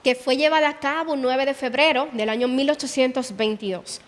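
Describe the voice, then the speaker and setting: A woman's voice: high voice, brisk, in a large hall. A woman guiding a museum tour, explaining history and quoting dialogue. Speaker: museum guide. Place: indoor exhibition hall.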